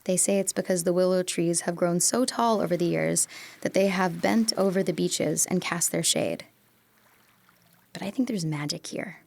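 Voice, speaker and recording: A woman playing Marie, a wistful, teasing young woman in an audio drama, with a slightly gravelly voice and soft breathy asides. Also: fairly low pitch, normal speed.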